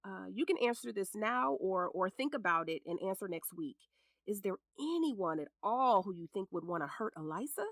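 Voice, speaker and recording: Sassy, animated American woman lawyer. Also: medium pitch, normal speed, no room sound, studio quality. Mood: reassuring, focused. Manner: blunt, sassy, commanding. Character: sharp-tongued, protective middle-aged lawyer, scornful ex-wife. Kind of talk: audio drama dialogue.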